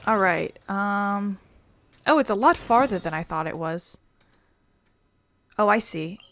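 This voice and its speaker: A woman with a mid-pitched voice chats through a video game. She thinks aloud in a casual, playful way, as a streamer does. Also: expressive, slow pace, fairly bright tone. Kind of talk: casual gameplay commentary.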